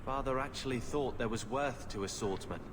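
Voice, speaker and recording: A man acting as an earnest young swordsman in a fantasy game, with music behind him. He speaks with restraint in a mid-pitched male voice. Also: brisk, noisy background, lightly acted.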